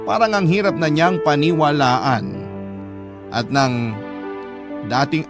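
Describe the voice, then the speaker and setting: An actor playing a heartbroken young man, narrating his own story of betrayal in a measured, earnest radio drama style. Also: on the high side, lightly acted.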